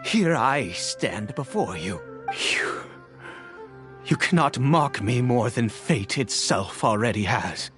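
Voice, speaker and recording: Cursed immortal father, video game drama, slow and theatrical, widely swinging pitch, weary, desperate, music underneath. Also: expressive. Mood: anguished, pleading. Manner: theatrical, hesitant, swinging from murmurs to anguished outbursts.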